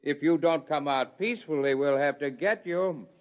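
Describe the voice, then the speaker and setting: A man playing a calm, menacing male scientist villain in a 1950s radio drama, old dull recording. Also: on the high side, normal speed, lightly acted.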